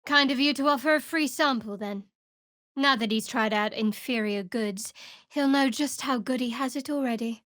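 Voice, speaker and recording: A woman's voice: on the high side, normal speed, a bit bright, no echo, natural voice. A woman playing a guarded, sardonic young female cleric in a video game, with a dry, aloof, clipped delivery. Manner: dry, aloof, clipped sarcasm with earnest undertones. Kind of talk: video game character voice acting.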